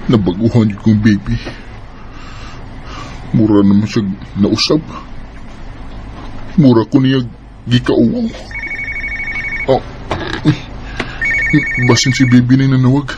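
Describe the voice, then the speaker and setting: A man's voice: mid-range voice, dull audio, lightly acted. Man acting a startled young boyfriend, noisy recording. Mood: puzzled. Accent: Filipino, Visayan. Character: devoted young boyfriend, easily startled and indignant. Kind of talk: radio drama acting.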